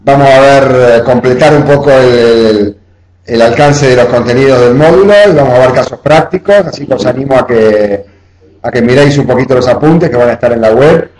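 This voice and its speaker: A man's voice: mid-range voice, brisk, a little mellow. A man giving an online class lecture, explaining step by step and often checking in with "¿eh?". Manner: explanatory, often adds "o sea" and "¿eh?". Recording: roomy echo.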